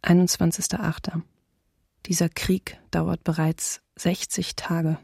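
An actress playing a shaken but sober city diarist in a radio docudrama, reading in an even, restrained, husky voice. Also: female voice, on the low side, slow, no echo, studio quality, full character voice. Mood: bleak, bewildered. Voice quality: husky, creaky.

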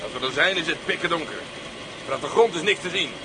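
A man's voice: a little high, expressive, fast talker, noisy background, close to an everyday voice. A man playing a mocking, coarse, cold gangster henchman in a radio drama, taunting and threatening. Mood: gloating, threatening.

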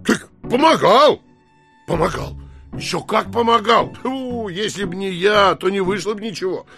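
A man playing a brazen, boastful landowner and compulsive liar in an audio drama, excitable and emphatic. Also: high-pitched, moderate pace, creaky, soft background music. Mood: excited bragging. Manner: excitable, swaggering, emphatic, swears to every claim. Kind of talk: theatrical audiobook drama acting.

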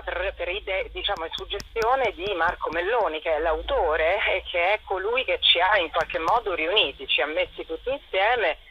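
Radio interview guest, female. Husky, expressive and reflective, heard over a muffled phone-like line. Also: deep voice. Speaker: theatre collective member, interview guest. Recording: dull telephone-like radio line.

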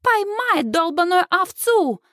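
A woman's voice: very high-pitched, very animated, slow, a bit bright, no room sound, clean studio sound, voice pushed up, heavily acted. A woman voicing mocking teenage boys in an audiobook, jeering and mimicking. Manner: sing-song mimicking, jeering.

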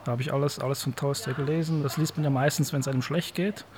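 A man speaking casually and reflectively as an interviewed professor, halting and repeating words as he thinks aloud. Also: mid-range voice, steady tone, a crowd in the background. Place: university office.

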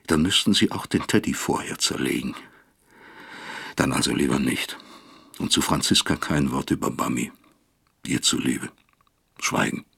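An actor playing a guilt-ridden middle-aged father in an introspective radio drama, gravelly and husky, brooding and questioning himself in halting phrases. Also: very deep voice, fairly bright tone, exaggerated voice. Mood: bitter, brooding.

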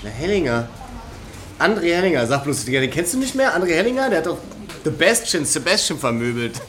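A man's voice: a little high, big pitch swings, fairly quick, a bit bright. Pushy, coarse young guy, noisy background. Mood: boisterous. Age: young adult. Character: pushy, rambling young small-time drug dealer, suspicious and coarse. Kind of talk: naturalistic radio drama dialogue.

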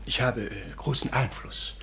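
A pompous, deluded old gentleman. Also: mid-range voice, fairly even intonation, normal speed, creaky. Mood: gracious, self-important. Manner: grandiose, formal, slightly scatterbrained. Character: pompous, delusional elderly gentleman with grand secret schemes. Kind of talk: 1950s German radio drama acting. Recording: noisy 1950s radio recording.

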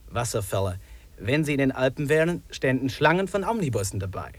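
An actor playing an eager wilderness hiker with an animated manner in a 1950s German radio drama. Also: male voice, mid-range voice, normal speed, dry recording. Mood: enthusiastic.

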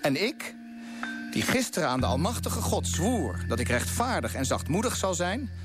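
A man's voice: on the high side, big pitch swings, moderate pace, music in the background. A man acting a righteous, idealistic colonial official in a radio drama, speaking in an earnest, insistent, declamatory way. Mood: solemn, oratorical. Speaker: actor in a radio play.

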